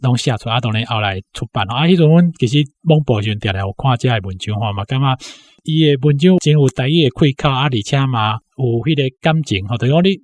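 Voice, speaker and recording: A man's voice: medium pitch, lively intonation, fast talker, no room sound. A man in his sixties with a Taiwanese accent recalls personal memories informally, often punctuating his phrases with little tag particles. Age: 60s. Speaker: interview guest, university academic. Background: background music.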